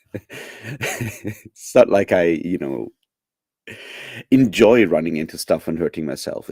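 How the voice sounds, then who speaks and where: A man's voice: low-pitched, darkish tone, no room sound, studio quality. A man chatting casually with his live viewers, rambling with lots of fillers, restarts and chuckles. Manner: chuckles often. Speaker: streamer.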